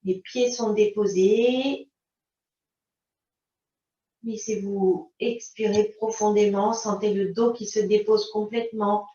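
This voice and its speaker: A woman teaching yoga, giving calm, unhurried guidance in short phrases. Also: mid-range voice. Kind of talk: guided yoga and relaxation instruction. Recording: roomy, distant mic, dull sound.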